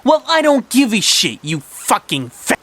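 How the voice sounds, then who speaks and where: Man voicing a vicious, foul-mouthed cartoon animal girl, raised pitch. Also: animated, moderate pace, fairly bright tone, no room sound. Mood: vicious, taunting. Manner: aggressive, profane, taunting.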